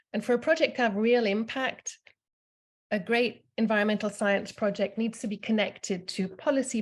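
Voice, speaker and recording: A woman from Germany who grew up in England, giving an informal presentation with frequent fillers and restarts. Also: mid-range voice. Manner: hesitant, many fillers and restarts. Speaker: university program staff presenter.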